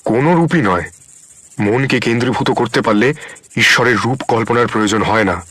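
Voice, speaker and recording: Guy acting a kindly wandering ascetic in a radio-style story, medium pitch, earnest philosophical way of talking. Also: brisk, dry recording, natural voice. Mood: solemn teaching. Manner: gentle, earnest, philosophical teaching. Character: wandering holy man, kindly, wise, plain-spoken ascetic. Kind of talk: audio story drama acting.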